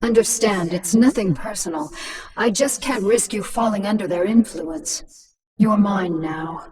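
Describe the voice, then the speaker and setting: A woman's voice: mid-range voice, measured pace, a little mellow, lightly acted. Commanding, ruthless swarm queen voiced by a woman, slightly distant. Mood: cold command. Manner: commanding, mocking, coolly menacing. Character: ruthless, sardonic alien-hybrid queen of a monstrous swarm. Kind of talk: scripted video game voice acting.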